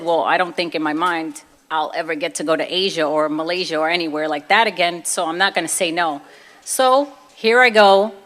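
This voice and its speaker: A woman's voice: medium pitch, fairly bright tone. A woman with a Brooklyn accent giving an informal personal talk, telling her story conversationally and acting out bits of dialogue. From Brooklyn, New York, USA.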